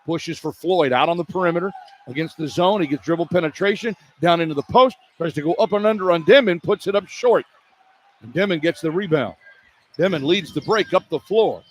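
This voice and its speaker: Male play-by-play radio commentator, fairly high voice, short clipped running calls, light arena noise. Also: brisk. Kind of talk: live basketball play-by-play commentary. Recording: arena crowd noise behind broadcast. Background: arena ambience.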